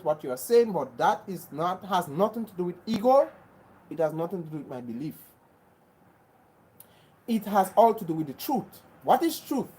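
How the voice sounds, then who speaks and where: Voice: medium pitch, darkish tone, slight background noise, low-quality recording. A livestream host from Grenada, talking earnestly to viewers. Often repeats and restarts phrases and speaks in an insistent, preachy way. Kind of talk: casual livestream chat answering viewers.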